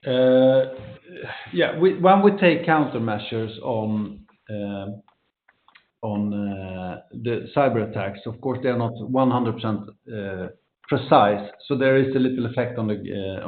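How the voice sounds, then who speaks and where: Roomy dull call audio, exec guy answering investor questions, halting and repeating himself. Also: lowish voice. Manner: halting, repeats words mid-sentence. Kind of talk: unscripted answers on an earnings conference call. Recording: roomy echo, dull band-limited conference call audio.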